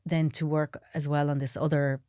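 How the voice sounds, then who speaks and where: Irish woman, language teacher, deep for a woman, upbeat and chatty, dry room. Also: flat delivery, moderate pace, mellow, dry recording. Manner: enthusiastic, chatty, frequent agreeing "yeah". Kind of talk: casual interview chat.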